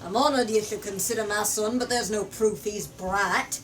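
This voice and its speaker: A woman's voice: medium pitch, normal speed, natural voice. Woman as a stern farmer father, distant mic. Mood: doubtful. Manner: firm, curt, emphatic. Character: stern, resigned farmer father, gruffly dismissive. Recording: distant mic in noisy room.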